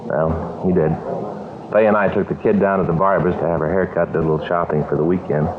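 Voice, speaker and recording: A man's voice: sits low, fairly even intonation, quick pace, crowd noise, lightly acted. An American man playing a put-upon married police detective, chatty and folksy in his complaining, in a 1950s radio drama. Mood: weary, exasperated. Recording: muffled, noisy old radio broadcast.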